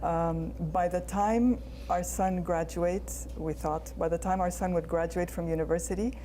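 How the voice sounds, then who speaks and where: Mellow-voiced woman chatting as a guest. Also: lowish voice, fairly even intonation, measured pace, a little hiss or hum. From Jordan. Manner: chatty, laughs often, many ums. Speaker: guest, real estate agent. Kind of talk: casual interview chat. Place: indoor studio.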